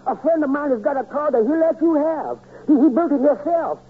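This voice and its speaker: An actor playing a nervous, simple barber who stammers and keeps abandoning phrases, in a 1940s radio comedy. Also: male voice, extremely high pitch, brisk. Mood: eager, flustered. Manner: severe comic stammer, restarts and abandons phrases. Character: nervous, tongue-tied male barber, eager and simple. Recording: thin, narrow-band old radio recording.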